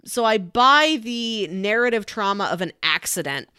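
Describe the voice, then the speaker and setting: A woman's voice: mid-range voice, expressive, normal speed, bright, forceful tone, smooth, no room sound, clean studio sound. A woman casually discussing a movie as a podcast host, talking through her opinions.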